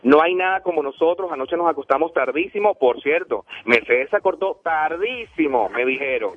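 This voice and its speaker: A man's voice: fairly high pitch, expressive, normal speed, slightly rough, no echo, soft background music. A man from Venezuela hosting a motivational radio show, speaking emphatically and repeating himself for effect. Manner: preachy, emphatic, repeats phone numbers. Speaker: radio host. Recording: tinny phone-like line.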